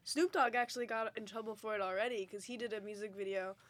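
A woman's voice: medium pitch, fast talker, dry recording. A young adult woman chatting casually as a panelist, slangy and sweary, peppering her talk with "like". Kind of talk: casual chat.

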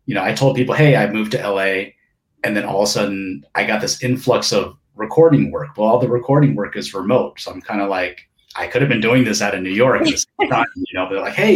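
A man in his forties talking casually as an interview guest, with relaxed, rambling speech full of fillers and restarts; Japanese was his first language. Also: mid-range voice, poor audio. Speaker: guest, session percussionist.

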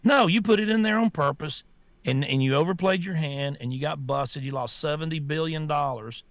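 A man's voice: fairly high pitch, normal speed, no echo. A man co-hosting a political talk show, talking in a fired-up, stammering way with many restarts. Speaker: co-host.

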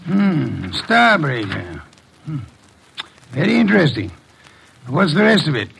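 Gravelly, imperious American detective boss. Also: male voice, a little high, very animated, slow, a bit bright, slight background noise, full character voice. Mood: commanding, pondering. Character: shrewd, commanding, gruff male detective boss. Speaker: detective boss in a radio mystery. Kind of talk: classic American radio drama acting.

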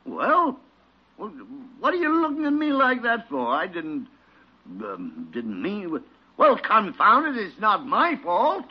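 Casting: crotchety older American doctor, blustery, exclamatory, higher-pitched, animated, in a dull vintage radio drama recording. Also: male voice, slow, husky, heavily acted. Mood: indignant. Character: cantankerous, blustering senior doctor, male, older.

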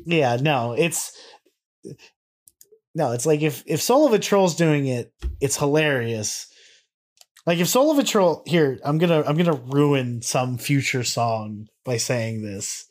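A man's voice: on the high side, expressive, measured pace. A man co-hosting a podcast in a rambling, slangy, sweary way, moving between casual banter and reading aloud. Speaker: podcast co-host.

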